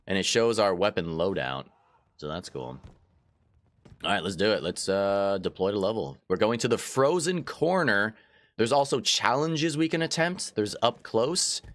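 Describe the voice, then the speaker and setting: A man's voice: lowish voice, lively intonation, normal speed, a bit bright, dry recording. Chatty man with a stuffy nose. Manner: casual, chatty, addresses viewers as guys. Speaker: gaming channel host. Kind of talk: let's play commentary. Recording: faint game music behind.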